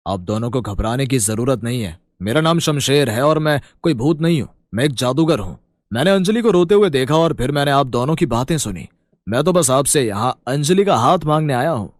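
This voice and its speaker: A man's voice: medium pitch, animated, brisk, no echo, studio quality, lightly acted. A man voicing an earnest, self-assured young magician suitor in a moral story. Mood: earnest, reassuring. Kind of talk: acted dialogue for moral story.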